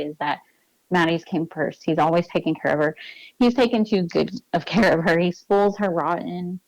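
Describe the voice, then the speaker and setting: A woman's voice: lowish voice, no room sound, poor audio. A woman interview guest giving a personal account in an earnest, insistent way, repeating points for emphasis.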